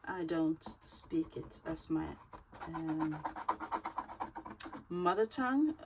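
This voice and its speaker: Slow-talking Swedish woman crafter. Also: deep voice, animated, very noisy. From Sweden. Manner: slow, chatty, hesitant with fillers. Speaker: crafting channel host. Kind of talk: casual craft tutorial.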